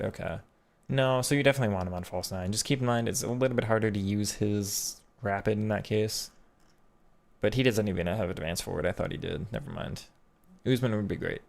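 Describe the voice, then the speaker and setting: Male streamer, lower register, loose and chatty, talks through tactics off the cuff. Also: vocal fry. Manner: chatty, thinks aloud with frequent restarts. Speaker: streamer and game coach. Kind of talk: casual live-stream commentary reading chat.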